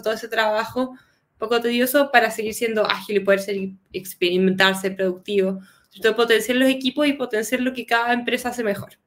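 A young woman with a Chilean accent, presenting a tech lesson on a video call, casual and chatty, with a cold. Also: mid-range voice. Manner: casual, often adds "¿cierto?" as a tag. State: has a cold, coughs. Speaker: guest speaker. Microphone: webcam video call.

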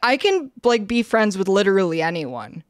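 A young Canadian woman on a casual livestream, reading questionnaire items aloud and answering in a flat, slightly monotone way, full of "like" and "um.". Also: medium pitch, normal speed, no room sound, clean studio sound. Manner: flat, slightly monotone delivery with frequent fillers. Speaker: streamer. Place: indoor room, streaming setup.

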